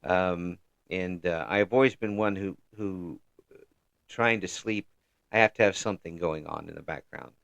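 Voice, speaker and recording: A man in his fifties hosting a casual chat interview, talking in a halting, rambling way with repeated words. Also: low voice, vocal fry, a bit bright, dry recording. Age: 50s. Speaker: interview show host.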